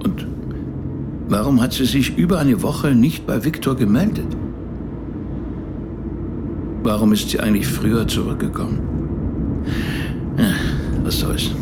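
An actor playing an inquisitive, tactful rabbi in a German audio drama, polite and probing in a conversational way. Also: male voice, medium pitch, noisy background, close to an everyday voice. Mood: pensive.

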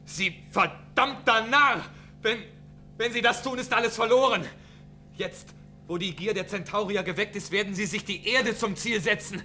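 An actor playing a commanding, grave spaceship chief in a science-fiction radio drama, with urgent, authoritative delivery. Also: male voice, high voice, normal speed, sharp tone, soft background music. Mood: desperate, furious. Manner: authoritative, clipped orders, urgent.

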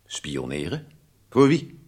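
Stern, methodical police major. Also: male voice, rumbling low, huge pitch swings, darkish tone, husky, heavily acted. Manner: formal, measured, insistent. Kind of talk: radio drama acting.

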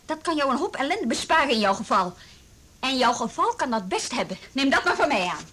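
A woman's voice: sits high, big pitch swings, fairly quick, sharp tone, slightly rough, heavily acted. An actress in a radio drama playing a stern, sarcastic policewoman, brusque and commanding as she questions a suspect. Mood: hard, pressing. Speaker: police interrogator. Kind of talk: Dutch radio drama acting.

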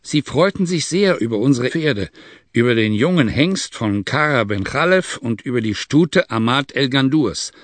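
A man's voice: mid-range voice, animated, normal speed, no room sound, close to an everyday voice. A man voicing a Bedouin tribesman giving a matter-of-fact report in an audiobook reading.

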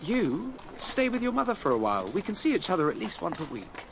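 An actor playing an earnest, dreamy young would-be composer in a radio drama, fervent and self-deprecating. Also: male voice, sits high, wildly swooping pitch, brisk, gravelly, background noise, full character voice. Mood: pleading. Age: young adult.

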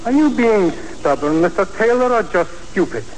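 An actor playing a suave, menacing crime boss who speaks with pompous, sneering politeness in a 1940s radio drama. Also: male voice, sits high, animated, normal speed, poor audio. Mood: silky menace. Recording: hissy 1940s radio broadcast recording.

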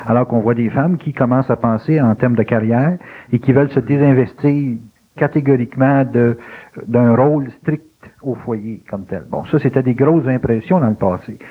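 A man's voice: mid-range voice, husky. A male interviewer with a Québécois French accent, speaking conversationally and reflectively, searching for his words as he frames questions. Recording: thin, phone-like lo-fi interview recording.

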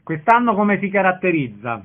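A man's voice: high voice, very animated, moderate pace, narrow, tinny audio. A man hosting a radio interview, asking questions and announcing details. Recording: radio broadcast sound.

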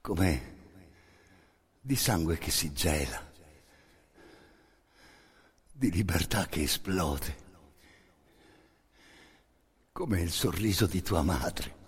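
A man performing an intense theatrical monologue, narrating in emphatic short phrases with a hoarse, gravelly voice. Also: deep voice, lively intonation, drawn-out words, pauses often. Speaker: stage actor narrating a monologue. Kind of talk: dramatic second-person theatrical narration.

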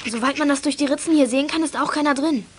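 A female performer playing a plucky, excitable girl detective in a youth radio play, eager and determined. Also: high voice, quick pace, a little hiss or hum, lightly acted. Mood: secretive. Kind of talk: 1980s German youth radio play acting.

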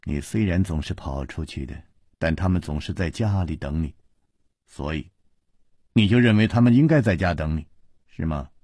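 Man voicing a sardonic young princess, husky. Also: deep voice, animated, normal speed, long pauses, darkish tone, dry recording, studio quality. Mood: teasing, pointed. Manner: cool, sneering, pointed. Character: sardonic, pouting young princess, cool and teasing. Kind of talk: Chinese wuxia storybook character voice.

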